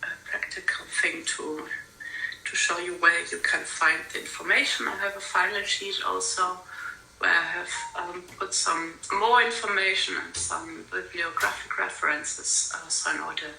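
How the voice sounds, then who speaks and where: A woman's voice: lowish voice, steady tone, normal speed, creaky, bright, forceful tone. A woman who is not a native English speaker, giving an academic conference presentation over a remote call, hesitant with many ums and uhs. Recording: roomy, distant mic, faint ambience.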